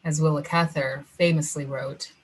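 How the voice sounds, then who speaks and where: A woman giving a sermon in a slow, lyrical, descriptive delivery during a remote online service. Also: sits low, steady tone, slight background noise. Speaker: guest preacher. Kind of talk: sermon reading.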